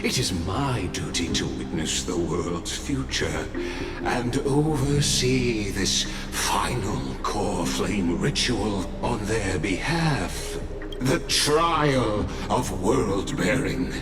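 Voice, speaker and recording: A man's voice: lowish voice, animated, takes it slowly. An actor playing a grandiose, cryptic male herald in a fantasy video game, speaking formally and theatrically. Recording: echoing voice over loud music.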